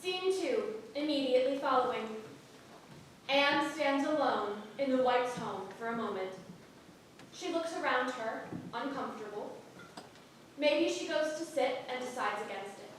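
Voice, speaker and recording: A woman's voice: high-pitched, animated, a little slow. A woman reading stage directions as the scripted narrator of a staged play reading in a theatre. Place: theatre stage, recorded from the audience. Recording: echoey hall, distant mic, faint noise.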